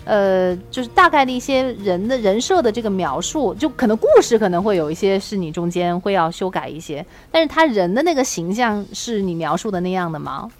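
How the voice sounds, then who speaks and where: A woman co-hosting a casual chat, asking questions in an inquisitive, expressive way. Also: medium pitch, brisk, few pauses. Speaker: talk show co-host.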